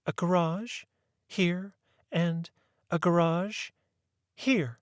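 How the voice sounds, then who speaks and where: A man reading aloud and voicing a timid, gentle young woman assistant who speaks softly and hesitantly. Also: high voice, very slow, no echo, clean studio sound, pitched above the performer's own voice.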